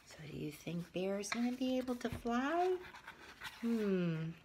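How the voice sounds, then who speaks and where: A woman teacher reading a picture book aloud to young children, expressively, with breathy asides and questions to her listeners. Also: a little high, animated, takes it slowly, darkish tone, a little hiss or hum.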